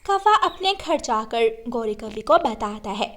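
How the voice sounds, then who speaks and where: A woman's voice: fairly high pitch, lively intonation, a little slow, a bit bright. A woman reading scripted narration for a children's moral story.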